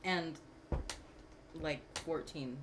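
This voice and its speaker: A woman's voice: deep voice, drawn-out words, fairly bright tone, a little hiss or hum. A woman streamer chatting casually during a game, agreeable and slangy. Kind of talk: casual chat during gameplay.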